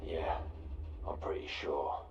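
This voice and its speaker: An actor playing a wary underworld scout in a video game, laconic and measured, often half-whispering. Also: male voice, a little mellow, lo-fi sound, close to an everyday voice. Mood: wary, matter-of-fact. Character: wary, low-key male underworld scout. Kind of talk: video game cutscene acting. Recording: echoey, distant from the mic.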